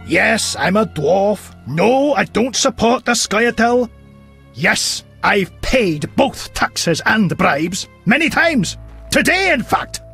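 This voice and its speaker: A man's voice: sits high, very animated, slow, gravelly, bright, forceful tone, faint music behind, heavily acted. An actor playing a bitter dwarf townsman in a fantasy video game, with sarcastic, grumbling gallows humour. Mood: exasperated. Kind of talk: video game dialogue acting.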